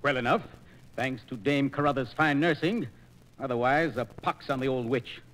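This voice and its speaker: An actor playing a wry, grumbling older sergeant in a theatrical 1940s radio operetta style. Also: male voice, mid-range voice, moderate pace, a bit bright, natural voice, low-quality recording. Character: grumbling older sergeant dodging an amorous dame. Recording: old 1940s radio transcription disc.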